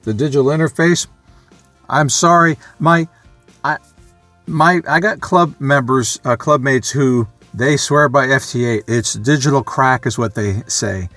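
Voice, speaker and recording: A man's voice: medium pitch, measured pace, a bit bright, dry recording, faint music behind. A man giving a casual, unscripted vlog talk as a hobbyist, rambling and matter-of-fact as he thinks aloud. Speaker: vlogger, amateur radio hobbyist.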